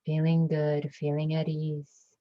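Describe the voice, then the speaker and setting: A woman leading a guided meditation with calm, gentle, soothing instructions and long pauses between phrases. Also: sits low. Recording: dull lo-fi recording.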